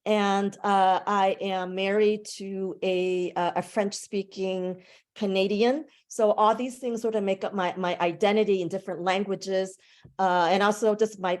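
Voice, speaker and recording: A woman language instructor, a native English speaker, leading a conversational online class discussion over a video call, thoughtful and a little halting. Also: medium pitch, a little mellow. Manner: conversational, thoughtful, with a few restarts.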